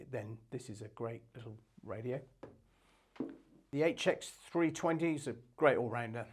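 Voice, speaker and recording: A man's voice: mid-range voice, vocal fry. Matter-of-fact man presenting products. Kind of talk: unscripted product review presentation.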